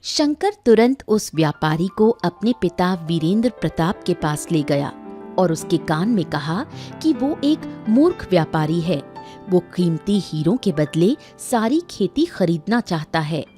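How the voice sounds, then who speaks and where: A woman's voice: lowish voice, very animated, unhurried, mellow, faint music behind. A woman giving scripted narration for a cartoon story.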